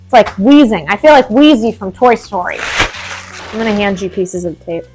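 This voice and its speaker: A woman's voice: mid-range voice, lively intonation, normal speed, music in the background, rough recording. A young woman hosting a home DIY vlog, talking casually and rambling with lots of fillers, with a dry, wheezy cough. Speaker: DIY vlogger and host. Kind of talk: casual chat and talk-through of a DIY task. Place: home indoors.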